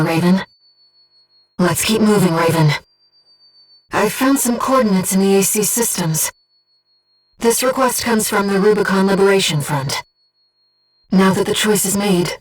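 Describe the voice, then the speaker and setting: A woman voicing a gentle, disembodied young female companion in a video game, giving a mercenary pilot composed, earnest and precise tactical reports in a soft, breathy voice. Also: mid-range voice, recorded from a distance, lightly acted, low-quality recording. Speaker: mission operator companion.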